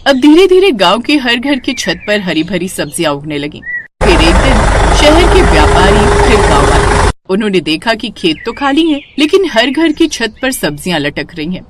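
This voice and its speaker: A woman's voice: mid-range voice, very animated, normal speed, very noisy. A woman narrating a scripted moral story as the storyteller.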